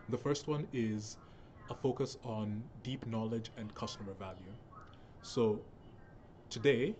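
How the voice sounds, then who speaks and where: A young man from Kenya gives a recorded talk to an event audience, explaining things and repeating phrases for emphasis. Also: on the low side. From Kenya (Nairobi). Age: young adult. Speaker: speaker, software professional. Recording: steady background noise.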